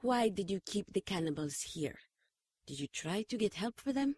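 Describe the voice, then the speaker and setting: A woman's voice: mid-range voice, big pitch swings, normal speed, darkish tone, breathy edge, no room sound. A woman playing a protective pagan nun with a dry, challenging manner in a radio drama.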